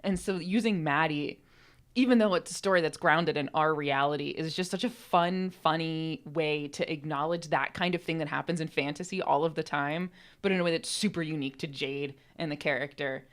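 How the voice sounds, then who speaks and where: A woman's voice: low-pitched, a little fast. A woman co-hosting a podcast in casual conversation, talking in an enthusiastic, emphatic way. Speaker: podcast co-host and co-writer.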